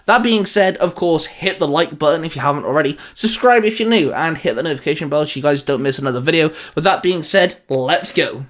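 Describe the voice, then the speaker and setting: A man's voice: on the high side, brisk, hardly any pauses, a bit bright. A man hosting a fan discussion show in an enthusiastic, emphatic presenting manner. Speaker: host. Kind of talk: scripted intro, then casual fan discussion.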